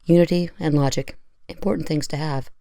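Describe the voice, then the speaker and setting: A troubled young-hearted analyst played by an actress speaks in a low, mellow female voice. She is earnest, subdued and reflective, in audio drama style. The recording is very dry. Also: steady tone, a little fast, gravelly, dry recording.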